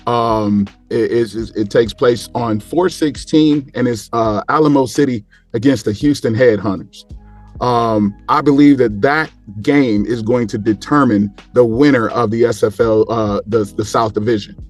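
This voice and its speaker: A man chatting casually about sports as a panel guest, repeating words haltingly as he builds his points. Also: mid-range voice, faint music behind.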